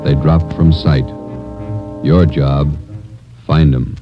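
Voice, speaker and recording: An extremely deep male narrator, solemn and clipped, in vintage radio style. Loud music sits behind the muffled recording. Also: level tone, slow pace. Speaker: program narrator.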